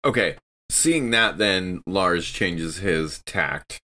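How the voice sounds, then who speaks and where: A man's voice: low-pitched, lively intonation, takes it slowly, bright, forceful tone. A man casually narrating what his tabletop game character thinks and does.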